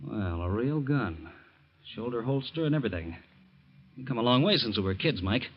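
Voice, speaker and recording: A male actor as an earnest, caring ex-convict in an old radio play. His mid-pitched voice moves with lively inflection, on a muffled recording with faint noise. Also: moderate pace, lightly acted. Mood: wary, defiant. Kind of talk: old-time radio drama acting.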